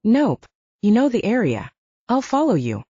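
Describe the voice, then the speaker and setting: Audiobook heroine, female narrator acting: wry, persistent young woman, animated intonation, dry and slightly dull recording. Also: on the high side, measured pace, breathy edge, dry recording, voice pushed up, full character voice. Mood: brisk, stubborn. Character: plucky, argumentative young woman hiker with wry wit.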